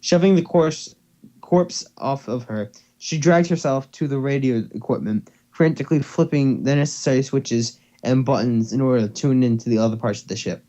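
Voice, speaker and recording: A man's voice: medium pitch, normal speed, dark tone. A man reading fan fiction as narrator and co-host, in an amateur, casual style, over slightly dull call audio. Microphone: computer or headset mic on a voice call.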